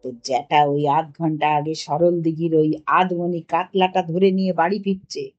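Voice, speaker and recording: A woman's voice: deep voice, flat delivery, normal speed, a little mellow, dull audio, faint music behind, voice pushed down. A woman voicing a bossy, eccentric older boy who knows it all and talks with emphatic, mock-grave drama in a storybook reading. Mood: urgent, conspiratorial.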